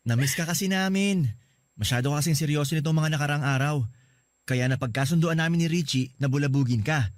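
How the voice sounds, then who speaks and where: A man's voice: on the high side, fairly quick, natural voice. A man with a Filipino accent playing a caring, teasing older brother in a radio drama. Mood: upbeat.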